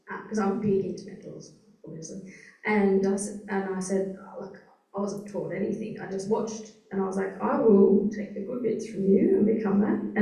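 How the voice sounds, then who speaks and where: Gravelly-voiced woman moderating a panel. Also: mid-range voice, warm tone. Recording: roomy conference hall, mic far off.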